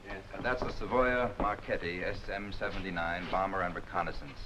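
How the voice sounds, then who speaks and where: Noisy vintage soundtrack, man acting as aircraft recognition instructor, low pitch, matter-of-fact recital, 1940s military training film. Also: steady tone, brisk, close to an everyday voice.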